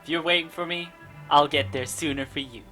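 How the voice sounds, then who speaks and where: Low voiced woman gamer, casual dry commentary, bit of game music in the back. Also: a little fast, bright, forceful tone. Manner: dry, sarcastic banter. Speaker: game streamer.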